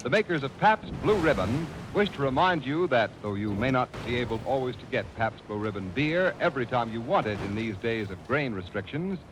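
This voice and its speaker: A man's voice: mid-range voice, expressive, fairly quick, hardly any pauses. A man announcing in 1940s radio style, reading sponsor copy with polished, emphatic salesmanship. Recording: old noisy radio broadcast.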